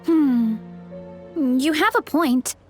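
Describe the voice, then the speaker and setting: A shy young heroine from a video game. Her voice is high and unhurried, earnest and a little hesitant, with faint music underneath. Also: lively intonation, full character voice. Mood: friendly. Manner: soft-hearted, hesitant, earnest. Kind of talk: video game character acting.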